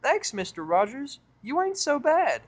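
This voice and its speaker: A man's voice: extremely high pitch, big pitch swings, unhurried, pitched above the performer's own voice, exaggerated voice. A man voicing a young female cartoon pony, sweet, eager and curious, with a folksy childlike manner. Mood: happy, warm.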